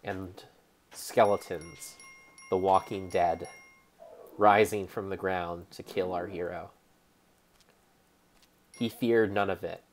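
A young man giving a theatrical, mock-serious dramatic narration over gameplay, speaking deliberately like a storyteller. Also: sits low, steady tone, a little hiss or hum. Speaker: game streamer.

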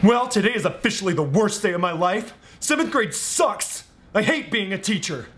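A man's voice: sits high, expressive, normal speed, very noisy, pitched above the performer's own voice. A man in his thirties playing a miserable, indignant schoolteacher venting in a comedy monologue, exasperated and aggrieved. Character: miserable, indignant male seventh-grade teacher, humiliated by students. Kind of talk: sketch comedy character monologue. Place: living room indoors.

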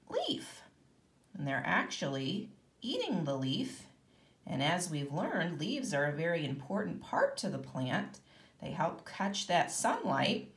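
A woman's voice: fairly low pitch, a bit bright, echoey room. A woman teaching kindergarten, reading a children's book aloud expressively and explaining it to young listeners. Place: indoor room, at a table.

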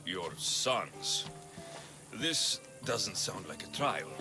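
A man's voice: low voice, lively intonation, drawn-out words, creaky, full character voice. An actor playing a dry-witted male mercenary hero with a sardonic delivery. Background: game music.

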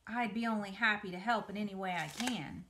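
A woman's voice: mid-range voice, brisk, fairly bright tone, slight background noise, lightly acted. A woman voicing a young pig from a storybook, childlike, affectionate and anxious, with an eager, pleading manner.

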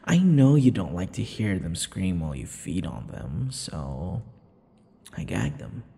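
Guy doing a clingy menacing vampire boyfriend for asmr, slow teasing murmur, swoops up and down, echoey. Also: sing-song, dark tone, exaggerated voice. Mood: smug, exasperated. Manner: slow, breathy murmurs, drawn-out words, low chuckles. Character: possessive male vampire lover, teasing and menacing. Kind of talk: intimate ASMR boyfriend roleplay. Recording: long reverb, faint background noise.

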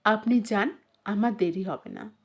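A woman's voice: mid-range voice, unhurried, husky. A woman narrator voicing a grave, detached male doctor in an audio story, curt but kind. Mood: reserved, reassuring. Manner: curt, impassive.

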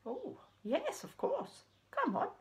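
A woman's voice: very high-pitched, huge pitch swings, takes it slowly, a bit bright, voice pushed up, heavily acted. A woman voicing a bouncy, friendly little rabbit in a puppet story, chirpy and a bit bossy.

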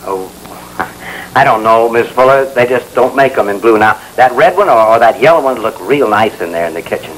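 An American actor playing a harried, polite telephone installer who speaks in a hesitant, placating, folksy way. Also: male voice, medium pitch, expressive, moderate pace, fairly bright tone, slight background noise, close to an everyday voice, rough recording. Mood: sheepish. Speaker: actor in a training film. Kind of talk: 1960s training film acting. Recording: old film soundtrack, music behind.

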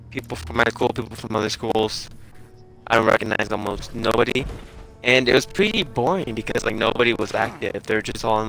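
Gravelly young man rambling through a story. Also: on the low side, fairly bright tone, slight background noise, poor audio. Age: young adult. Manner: halting, rambling, filler-heavy with self-corrections. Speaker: game streamer telling a story. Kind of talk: casual storytelling over gameplay.

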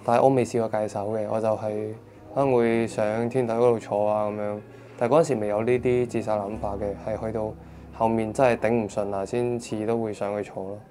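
A man's voice: lowish voice, level tone, brisk, warm tone, slight background noise. A man, a patient giving a candid personal testimony in short bursts. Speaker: patient in a support program.

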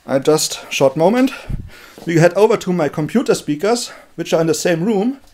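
A man casually presenting a hands-on electronics demonstration in a halting, explanatory way, recorded on a handheld camera in a room at home. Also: sits high, animated, darkish tone, a little hiss or hum. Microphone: handheld camera held by the speaker.

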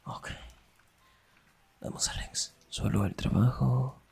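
A young man performing an intimate ASMR roleplay as a teasing, affectionate best friend, murmuring in a breathy, husky whisper. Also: medium pitch, steady tone, slow, a little mellow, a little hiss or hum.

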